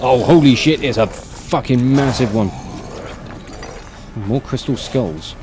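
A man's voice: mid-range voice, slow, background noise. A man in his thirties giving casual, exasperated, sweary gameplay commentary as he streams, close on the mic indoors. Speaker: video game streamer. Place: indoor room, seated at gaming setup. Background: game music and sound effects.

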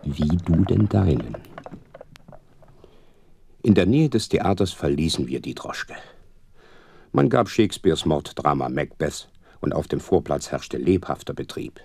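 An actor playing a sentimental, courteous gentleman companion who narrates a detective radio drama in a gravelly, husky voice. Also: very deep voice, lively intonation, a little slow, pauses often, exaggerated voice. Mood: courteous, alert.

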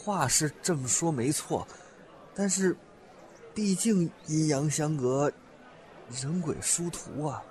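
An actor playing an uneasy, questioning male student in an audio drama, fretful and expressive. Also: a little high, slow pace, long pauses.

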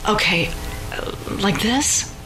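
A woman's voice: mid-range voice, lively intonation, slow pace, music in the background, poor audio. A woman playing an anxious, distrustful wife and mother in an audio thriller. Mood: anxious, distressed. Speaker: radio drama actress. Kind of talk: audio drama acting.

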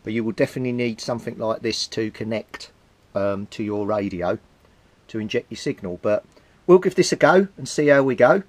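A man's voice: on the low side, a little fast. British man, informal hobbyist presenter. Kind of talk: informal hands-on demonstration. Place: small indoor hobby workshop.

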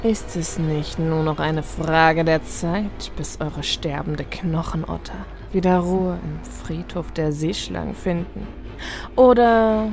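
A woman's voice: low-pitched, slow, music in the background. A woman playing a scornful, treacherous pirate captain, speaking in a mocking, pointed way in a fantasy story.

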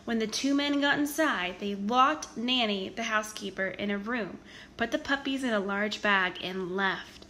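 A woman reading a children's story aloud in an expressive, animated storytelling manner with emphatic stresses. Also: fairly high pitch, a little hiss or hum. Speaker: storybook reader.

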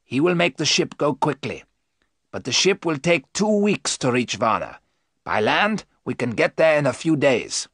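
A man voicing a grave, learned older professor and vampire hunter, speaking emphatically and deliberately in an audiobook story. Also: fairly high pitch, very animated, fairly bright tone, breathy edge, no room sound, clean studio sound, voice pushed up, exaggerated voice. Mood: firm.

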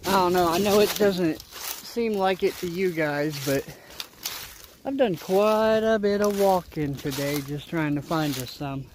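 A man talks casually to viewers while walking outdoors. His voice sits a little high, and he rambles in a folksy way, thinking out loud. The handheld recording carries faint background noise. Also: measured pace. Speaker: outdoor vlogger. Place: outdoors in leafy winter woods. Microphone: handheld camera or phone, behind the lens.

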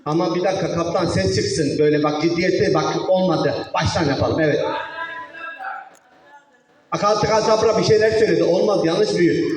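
High-pitched man hosting in a very echoey hall. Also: brisk, darkish tone. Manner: playful, short repeated prompts and questions. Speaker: host of a children's magic show. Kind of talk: live stage show patter addressed to children and parents. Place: large echoing venue with an audience. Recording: echoey hall, far from mic, music behind.

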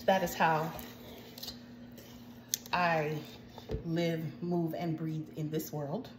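A woman's voice: low voice, flat delivery, drawn-out words. A woman in her forties with an American accent, hosting a casual baking tutorial in her home kitchen and chatting to viewers with frequent asides. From United States, Jamaican household. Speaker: home cooking show host. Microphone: phone camera at arm's length. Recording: echoey kitchen with faint noise.

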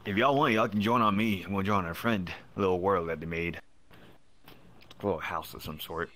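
A man's voice: deep voice, fairly quick, pushed and bright, a little hiss or hum. A man streaming a social game from a small dim room over a headset, joking and swearing with friends. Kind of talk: casual gaming chat with friends.

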